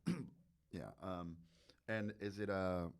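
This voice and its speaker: A man from the United States with a fairly low voice hosts a relaxed podcast chat. He talks casually, repeating and tripping over words as he asks his questions. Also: slow pace, clean tone. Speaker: podcast host. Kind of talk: casual conversational interview.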